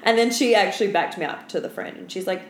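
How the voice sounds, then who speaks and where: Young female podcast co-host, tired, mid-pitched, sweary and slangy storyteller, recorded in a reverberant room. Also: quick pace. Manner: slangy, sweary, heavy use of "like". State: tired from being up all night. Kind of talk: casual chat, telling work anecdotes. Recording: echoey room with clear background noise.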